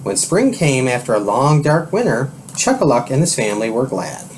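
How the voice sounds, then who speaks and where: A man reading a children's storybook aloud in a measured, expressive manner. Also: medium pitch, slight background noise. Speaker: storybook reader.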